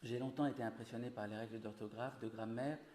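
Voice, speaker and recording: A man who grew up in Syria, a native Arabic speaker, reading a measured, earnest formal speech as guest of honour. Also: medium pitch, fairly even intonation, a little mellow. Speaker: guest speaker, comics author. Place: large ceremonial hall. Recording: echoey hall with faint noise.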